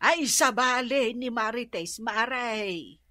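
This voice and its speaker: A woman's voice: a little high, lively intonation, takes it slowly, pushed and bright, no room sound, clean studio sound, full character voice. An actress playing a gossipy, boastful neighborhood woman with an Ilocano accent, exclaiming and confiding in a radio comedy drama. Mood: gossiping.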